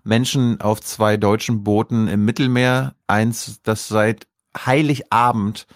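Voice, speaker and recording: A man's voice: on the low side, steady tone, slow pace, no echo. Berlin guy co-hosting, earnest, fumbles words a bit, dry close sound. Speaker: podcast co-host. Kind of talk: casual chat.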